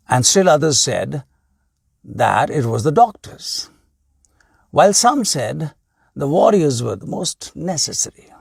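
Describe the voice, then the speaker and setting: Male storyteller. Raspy, slow delivery with deliberate pauses, reading a scripted tale aloud. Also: medium pitch, darkish tone, no room sound, clean studio sound. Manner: deliberate, with pauses between short phrases.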